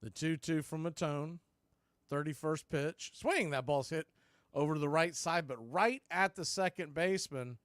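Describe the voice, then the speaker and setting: A man's voice: on the high side, moderate pace, fairly bright tone, no echo, clean studio sound. A man doing live baseball play-by-play commentary as the show's announcer, in terse, clipped calls.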